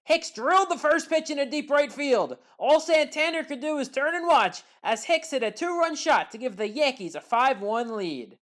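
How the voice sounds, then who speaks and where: A woman giving a scripted play-by-play recap of baseball highlights in a measured, factual way. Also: expressive. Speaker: highlights commentator.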